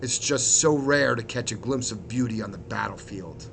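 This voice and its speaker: An earnest male army commander, played by a man reading a story, stiff and a little awkward. He speaks at a medium pitch in a storybook war tale, with clear noise and rumble on the track. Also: expressive, moderate pace, a bit bright. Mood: flustered, earnest. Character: stoic, earnest male military commander, a vegetable soldier.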